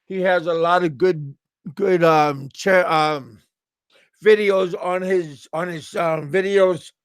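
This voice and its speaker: A middle-aged American man chatting casually on a live stream, halting and repeating his words. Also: high voice, smooth, no echo, clean studio sound. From USA. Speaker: livestream host.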